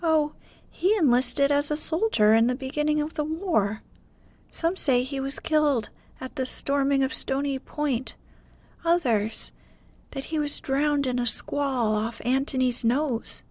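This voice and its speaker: A woman's voice: high-pitched, measured pace, a little mellow, dry recording, pitched above the performer's own voice. A woman voicing an old village man with a thin, piping voice in a storybook reading. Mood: matter-of-fact, reminiscing. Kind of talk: storybook character voice.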